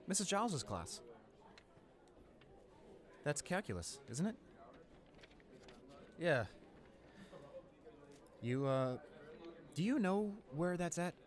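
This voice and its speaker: A young man playing a friendly, easily flustered high school senior in a roleplay audio drama, with an awkward, rambling, hesitant way of talking. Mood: shy, friendly. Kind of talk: roleplay audio drama, casual conversational acting.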